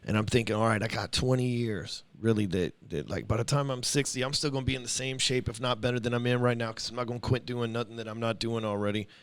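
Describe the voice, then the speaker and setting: A man's voice: medium pitch, steady tone, fast, few pauses, smooth. A man in his forties hosting a podcast, talking bluntly and swearing freely as he lays out his views in casual chat. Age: 40s. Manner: blunt, profane, lecturing. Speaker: podcast host.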